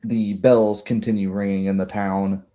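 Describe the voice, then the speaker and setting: Male game master, deep-leaning mellow voice, improvised fantasy narration. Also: level tone, normal speed.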